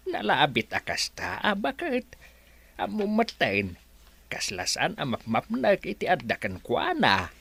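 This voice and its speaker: A man playing an excitable villager who keeps asking why. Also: high voice, unhurried, creaky, slightly rough, heavily acted. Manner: animated, repeats "ah, bakit?".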